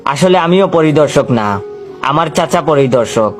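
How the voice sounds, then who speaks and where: A man's voice: on the high side, animated, measured pace, soft background music. A man narrating a scripted comic story as a storyteller.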